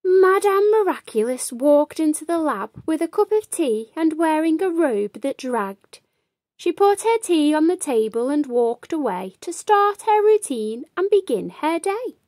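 A woman reads a children's story in a high voice with sweeping, animated intonation. She takes her time over the phrases, and the sound is dry and close. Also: no echo. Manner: animated, deliberate storytelling delivery. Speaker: children's storybook reader and host. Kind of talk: expressive read-aloud storytelling.

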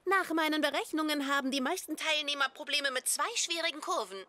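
Very high bright brainy girl, cartoon coach, earnest and precise. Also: a little fast. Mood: confident. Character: clever, loyal, analytical girl coach. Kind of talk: German cartoon dub acting.

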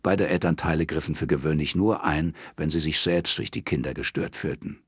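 A man reading literary prose as an audiobook narrator in a measured, sober manner. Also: rumbling low, slightly rough, no room sound, clean studio sound. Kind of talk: scripted literary narration in German.

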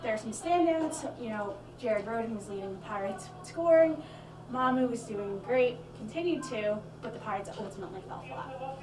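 A woman's voice: a little high, normal speed. A young woman co-hosting a student basketball recap, chatty and reeling off stats. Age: young adult. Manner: chatty, reels off stats with fillers. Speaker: student sports analyst co-host. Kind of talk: postgame basketball analysis. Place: small studio with stadium backdrop. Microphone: handheld mic. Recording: echoey room, mic far, crowd noise behind.

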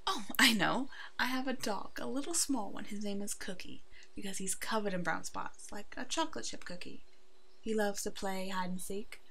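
A woman voicing a brave, protective werewolf in an ASMR roleplay, soothing and halting, with a soft, hushed delivery. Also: medium pitch, creaky, a little hiss or hum. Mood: friendly chatter.

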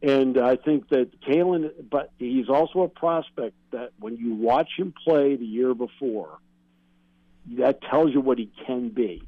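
A man's voice: mid-range voice, a little slow. An American man giving analytical, explanatory answers as a guest sports broadcaster in a radio interview. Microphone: remote call-in connection. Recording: narrow, dull phone-like call-in line.

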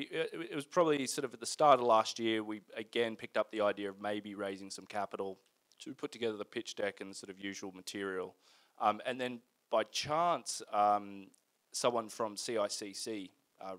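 A middle-aged man speaking as a guest in an on-stage interview, phrasing things in a measured, hesitant way with asides, into a handheld microphone. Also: fairly low pitch, fairly bright tone. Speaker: guest, company founder. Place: indoor event stage in front of a screen.

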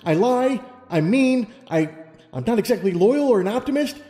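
A man acts out a flustered young pony mare from a storybook. The voice sits high and swings widely in pitch, stumbling through earnest protests full of self-doubt. It rings with long hall reverb. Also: expressive, normal speed, mellow. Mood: flustered denial. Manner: hesitant, stammering protests. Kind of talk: audiobook character reading.

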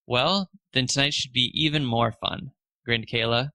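A man's voice: medium pitch, fairly even intonation, unhurried, pushed and bright, no room sound. A man narrating a children's storybook aloud, expressively lifting his voice for the dialogue.

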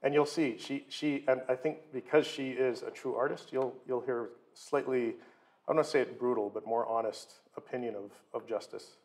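A middle-aged man giving an informal lecture and introducing speakers in a halting, conversational way from a desk in a hall. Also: medium pitch, steady tone, a little mellow. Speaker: guest lecturer, record label co-owner. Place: wood-panelled lecture hall, seated at desk.

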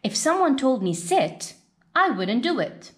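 A woman's voice: high-pitched, big pitch swings, unhurried, voice pushed up. A woman voicing a proud, independent cartoon dog from a picture book, self-satisfied, bossy and affectionate. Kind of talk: children's picture-book read-aloud.

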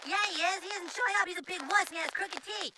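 An actor voicing an excitable, goading schoolboy in an animated comedy. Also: extremely high pitch, fast. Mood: taunting, tattling. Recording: noisy degraded VHS-style cartoon audio.

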